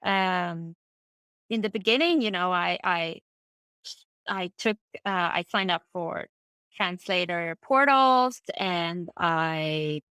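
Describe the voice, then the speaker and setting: A middle-aged woman from Finland whose first language is Swedish, a translator talking about her career as an interview guest, relaxed and thoughtful, with plenty of fillers and restarts. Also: on the low side, slow pace, clean tone, no echo. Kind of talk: casual conversational interview.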